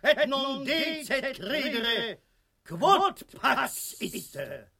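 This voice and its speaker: An actor in a radio drama playing a sullen, solemn Spanish bishop who declaims in a stern, liturgical chant. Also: male voice, extremely high pitch, very animated, drawn-out words, vocal fry, sharp tone, exaggerated voice.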